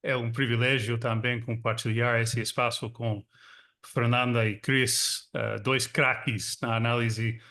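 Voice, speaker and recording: A man from Texas who speaks English natively, talking in an easygoing, chatty way with many filler pauses, with an American accent and a countryside São Paulo Portuguese lilt, on a video call from his suburban home. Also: mid-range voice, level tone, sharp tone. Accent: American English with a Brazilian São Paulo countryside lilt. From Texas, United States. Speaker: guest panelist, magazine editor. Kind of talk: online panel discussion. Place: home in a small suburban town.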